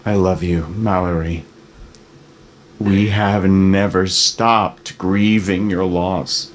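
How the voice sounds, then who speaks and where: A man's voice: low-pitched, fairly even intonation, takes it slowly, a little hiss or hum. A man playing a remorseful, grieving father burdened by guilt in a screenplay table read. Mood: remorseful, pleading.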